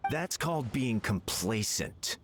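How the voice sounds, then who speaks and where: A man playing a haughty, impatient candidate for high office in a video game, pompous and complaining. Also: big pitch swings, slow, heavily acted. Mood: indignant.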